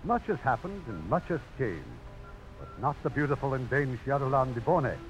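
Animated male radio drama narrator. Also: mid-range voice, fairly quick, rough recording. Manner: dramatic, formal. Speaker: radio host, producer and narrator. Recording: old radio broadcast. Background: music.

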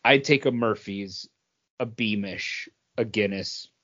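A man's voice: fairly low pitch, slow pace, a bit bright. A man co-hosting a podcast, giving opinionated but qualified takes in a casual, conversational way. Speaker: podcast co-host. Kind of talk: casual beer review and chat. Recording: dull, band-limited audio.

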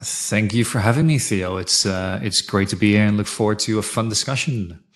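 A middle-aged man from the Netherlands, speaking conversationally as an interview guest, halting and often saying "you know". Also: fairly low pitch. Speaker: interview guest, fintech consultant. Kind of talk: conversational career account.

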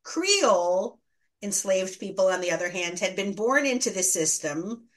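A woman's voice: medium pitch, sing-song, a little slow. A woman speaking as an academic interview guest, explaining in a thoughtful, didactic, conversational way and correcting herself as she goes. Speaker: history scholar and teacher, interview guest.

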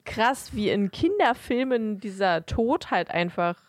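A woman's voice: mid-range voice, animated, a little slow, no echo, clean studio sound. A woman co-hosting a podcast, talking casually about films. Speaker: podcast co-host.

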